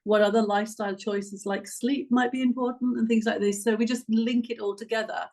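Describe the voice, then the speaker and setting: A woman's voice: a little high, steady tone, brisk, a little mellow. A woman in her sixties with an English accent, explaining things conversationally as an expert guest. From England. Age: 60s. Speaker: guest physiotherapist and hormone expert.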